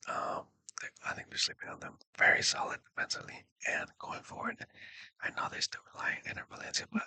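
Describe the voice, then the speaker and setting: A man speaking in a breathy whisper, giving casual, rambling sports predictions and often correcting himself. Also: pushed and bright, no room sound. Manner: hesitant, rambling, self-correcting. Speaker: sports video creator.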